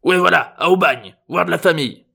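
Guy doing a grumpy hoarse old grandpa in a story, pitched way up, indignant and gruff. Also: fairly quick, sharp tone, heavily acted. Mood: irritated, defiant. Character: cranky, defiant, scrawny old man with whisky bottle.

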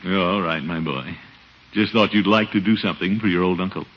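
A man's voice: low voice, fairly quick, slightly rough. A man playing a fretful, pompous middle-aged uncle, with hesitant, theatrical delivery in a 1950s radio comedy. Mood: doting. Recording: muffled vintage radio recording.

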